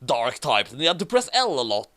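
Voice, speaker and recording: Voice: mid-range voice, sing-song, brisk, fairly bright tone. A person reading fan fiction aloud and commenting on it in a theatrical, mock-serious way. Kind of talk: dramatic amateur reading with casual commentary.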